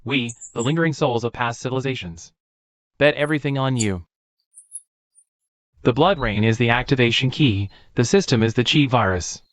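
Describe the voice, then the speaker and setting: A man voicing a solemn lingering spirit of past civilizations, explaining things in an animated comic. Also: medium pitch, moderate pace, no room sound, lightly acted. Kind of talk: scripted animated comic dialogue.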